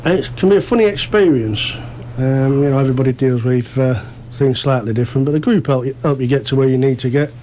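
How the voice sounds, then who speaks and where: Mellow man, casual guest. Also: mid-range voice, quick pace, hardly any pauses, a little hiss or hum, poor audio. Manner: modest, self-deprecating. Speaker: amateur actor interview guest. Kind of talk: casual radio interview chat.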